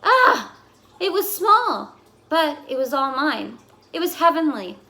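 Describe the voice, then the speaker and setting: Woman reading as a dramatic, fussy pet goldfish, swooping pitch. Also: slow pace, creaky, full character voice. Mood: wistful, worried. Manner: dramatic, exasperated, expressive storytelling. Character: fussy, put-upon pet goldfish telling its diary. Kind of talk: expressive children's picture book read-aloud.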